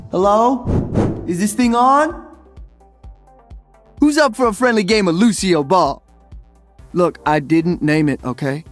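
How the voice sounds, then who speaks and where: A man's voice: sits high, dry recording. A man voicing an upbeat, playful young celebrity DJ hero in a video game, joking casually. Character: upbeat young male Brazilian celebrity DJ and freedom fighter.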